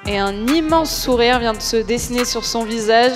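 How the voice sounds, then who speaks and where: A woman co-hosting an award ceremony, lively and encouraging as she calls a winner up. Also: on the high side, moderate pace. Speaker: co-host. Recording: hall with crowd and music.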